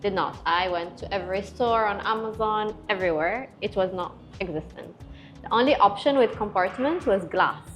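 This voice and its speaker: A middle-aged woman from Morocco, a guest entrepreneur in a casual panel chat, telling stories in an animated way with emphatic repetition. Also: medium pitch, normal speed, faint music behind.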